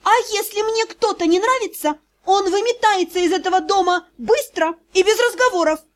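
Woman voicing a fierce high-pitched young vampire lady. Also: animated, moderate pace. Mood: furious, contemptuous. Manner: imperious, scolding, threatening. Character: fierce, fearless, hot-tempered young female vampire noble.

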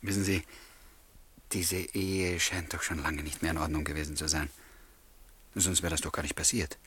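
An actor playing a lovelorn, discreetly smitten bachelor in a German radio play. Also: male voice, very deep voice, steady tone, moderate pace, a bit bright, breathy edge, full character voice. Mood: probing.

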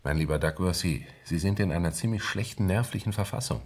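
An actor in a 1970s German radio drama playing a frank doctor, gravelly and matter-of-fact as he admonishes and advises. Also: sits low, fairly quick. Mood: frank, advising. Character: frank, advising male doctor addressing his patient.

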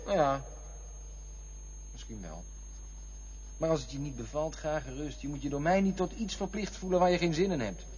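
An actor playing a defensive, wistful man in a Dutch radio play, touchy and drifting into lyrical memories, with a husky voice. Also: a little high, animated, moderate pace, long pauses, a little mellow, slight background noise, natural voice. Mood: irritated, defensive. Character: defensive, wistful man looking back on lost loves. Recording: dull, lo-fi radio play recording.

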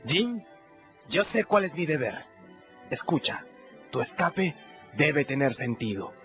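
A man's voice: fairly high pitch, sing-song, slow, fairly bright tone, highs cut off, faint music behind, full character voice. An actor playing a bold, theatrical boy adventurer in a radio drama, commanding and dramatic. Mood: bossy.

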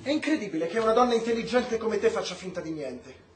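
Miguel, an impassioned, high-voiced man. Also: expressive, fast, soft background music. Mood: sardonic lecturing. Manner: emphatic, ironic, lecturing. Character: Miguel, impassioned Cuban man, sardonic and politically outspoken. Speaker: radio drama actor. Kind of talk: Italian theatrical radio drama dialogue. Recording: roomy stage-like echo.

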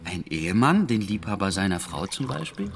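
An actor playing a world-weary, despondent betrayed husband, hesitant and brooding, in a German radio drama. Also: male voice, on the low side, sing-song, brisk, creaky, a little hiss or hum. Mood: cautious. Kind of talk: German radio drama dialogue and inner monologue.

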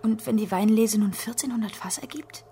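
An actress playing a gentle, earnest young daughter in a radio drama, soft and often half-whispering. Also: female voice, fairly high pitch, a little fast, slight background noise, natural voice. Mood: curious, hushed. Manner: soft, tender, curious.

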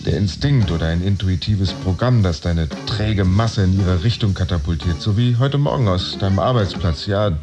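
A man's voice: low voice, moderate pace, hardly any pauses, music in the background, natural voice. An actor playing a brooding, wordy office worker in a radio drama, telling his tale in a confiding, wry, literary manner. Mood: brooding, urgent. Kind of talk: modern German radio drama monologue.

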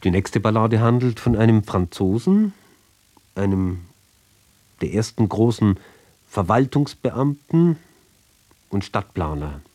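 A man giving a sober, measured literary reading for radio, phrase by phrase with deliberate pauses. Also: lowish voice, sing-song, dark tone. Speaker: reader of literary ballads.

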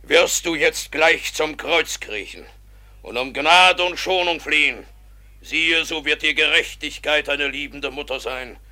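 An actor playing a pompous priest sent by the authorities, scolding and preaching in declamatory classical radio drama style. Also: male voice, on the high side, a little slow, bright, forceful tone. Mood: stern, condescending.

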